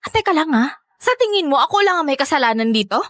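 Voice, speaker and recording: Young Filipina mistress, high-pitched, defiant, whiny, exclaiming, radio drama, dry studio sound. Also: female voice, lively intonation, quick pace, fairly bright tone, no echo. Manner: brazen, defensive, exclaiming.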